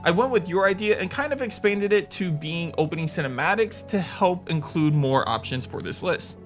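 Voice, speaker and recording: A man's voice: sits high, animated, normal speed. A man hosting a video game countdown, reading scripted narration in an enthusiastic, emphatic way. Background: music.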